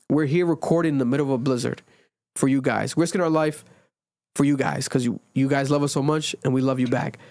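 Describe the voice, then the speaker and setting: A man in his twenties hosting a casual podcast, talking animatedly and jumping high in pitch when he jokes. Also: a little mellow, no echo, clean studio sound. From Rhode Island, USA. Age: 20s. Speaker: podcast host. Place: home recording during a blizzard.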